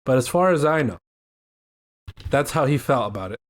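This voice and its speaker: A man's voice: medium pitch, animated, normal speed. A man from Miami with a Miami accent, hosting a casual podcast chat in a rambling, jokey way. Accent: Miami English. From Miami, USA. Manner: rambling and jokey, repeats "you know what I mean". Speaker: podcast host.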